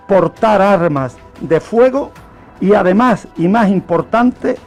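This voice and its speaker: A man's voice: high voice, darkish tone. A man with an accent from Spain telling history on the radio in an unscripted, didactic way, posing questions and answering them. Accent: Spanish from Spain. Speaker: history segment speaker on a radio programme.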